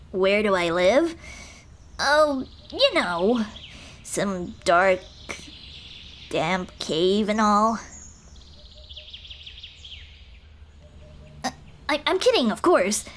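A woman voicing a small, shy, kind-hearted goblin girl in an ASMR roleplay, speaking hesitantly and gently to comfort the listener. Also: medium pitch, animated, slight background noise. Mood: playful, bashful. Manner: hesitant, timid, soft-hearted, halting. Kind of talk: ASMR roleplay, intimate one-sided dialogue. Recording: birdsong and outdoor ambience behind voice. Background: birdsong, nature ambience.

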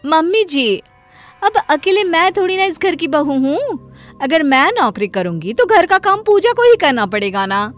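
A woman's voice: very high voice, very animated, normal speed, faint music behind, pitched above the performer's own voice. A woman voicing a clever, boastful young working daughter-in-law in a cartoon family story, bossy in manner. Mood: smug. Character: clever, self-important young elder daughter-in-law, newly employed. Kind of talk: animated Hindi family story dialogue.

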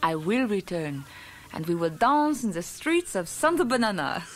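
A woman's voice: medium pitch, sing-song, normal speed, slight background noise, lightly acted. A woman voicing a romantic, hopeful cartoon cat with a French accent, polite and earnest, in a radio play. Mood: tender, hopeful.

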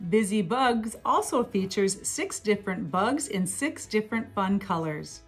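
A middle-aged woman demonstrating educational toys at a table in a small room, explaining each step clearly and cheerfully, with faint music behind her. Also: medium pitch. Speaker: toy seller demonstrating products. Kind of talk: product demonstration.

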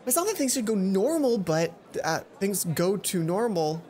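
A man's voice: high voice, very animated, normal speed, darkish tone, slight background noise. A man voicing an indignant, brash teenage schoolgirl from a visual novel, in a whiny, exasperated way.

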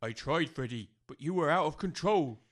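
A man's voice: on the high side, lively intonation, moderate pace, natural voice. A man playing a hapless, hesitant village workmate in an audio comedy drama, meek and casual. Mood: apologetic.

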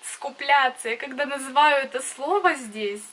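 A woman in her twenties with a Donbass accent talks straight to her webcam in a small indoor room, chatty and blunt, laughing often. Her voice sits a little high and sounds bright and sharp. The delivery is casual, like a video blog. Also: normal speed, poor audio. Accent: Donbass Russian. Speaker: vlogger. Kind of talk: casual to-camera chat.

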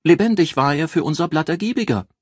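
A man's voice: a little high, lively intonation, fast, slightly rough, dry recording, clean studio sound, pitched above the performer's own voice. A man voicing an exuberant, teasing female newspaper editor with a throaty tone in a crime audiobook. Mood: shrewd, playful. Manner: teasing, flirtatious, mock-formal.

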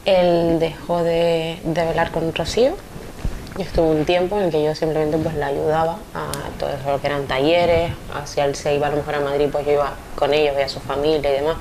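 A woman's voice: low-pitched, flat delivery, brisk. A woman from Venezuela, chatting casually in Spanish as a dance teacher being interviewed. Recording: clear background noise.

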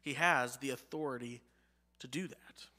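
A man in his thirties with an American accent, preaching a sermon from a church pulpit. He lifts his voice on key phrases and lets line endings fall low and soft. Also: moderate pace. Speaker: pastor. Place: church sanctuary, behind a pulpit. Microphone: pulpit mic, medium-wide camera.

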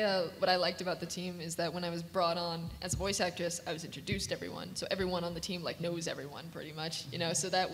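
A woman talking casually as a panelist. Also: on the low side. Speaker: voice actress, panelist. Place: convention panel room. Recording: reverberant room, faint background noise.

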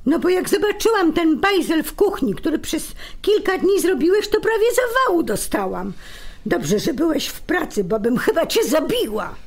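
A woman's voice: extremely high pitch, sing-song, normal speed, exaggerated voice. A woman playing a grumpy convalescing wife with dry wit in a naturalistic radio drama, sarcastic and bickering. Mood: irritable.

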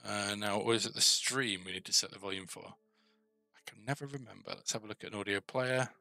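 A man's voice: lowish voice, fairly even intonation, fairly quick, gravelly, bright, forceful tone, dry recording, faint music behind. A middle-aged man giving a casual coding tutorial, musing and asking himself questions as he works. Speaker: game developer presenting a coding series. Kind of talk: thinking aloud while coding, casual tutorial.

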